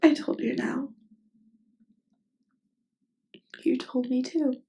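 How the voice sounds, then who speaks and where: A woman acting a tender, lovestruck best friend in an intimate ASMR roleplay, speaking in a halting, earnest, vulnerable way. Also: high-pitched, steady tone, creaky, dark tone. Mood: tender, hushed. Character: tender, lovestruck female best friend confessing her feelings. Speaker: voice actress.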